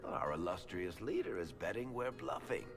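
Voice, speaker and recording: A man's voice: sits low, lively intonation, moderate pace. A man playing a genial, teasing rogue who runs a card game in a video game, with a wry manner. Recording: long hall reverb, faint music.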